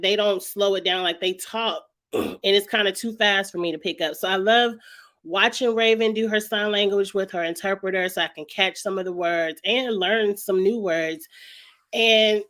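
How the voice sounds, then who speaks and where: A woman hosting a casual review vlog, chatty and emphatic, her voice slightly raspy and stuffed up from a cold. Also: medium pitch, fairly bright tone. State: lingering cold with sinus congestion, clearing throat often. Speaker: YouTube show host reviewing reality TV. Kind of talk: casual vlog commentary to viewers.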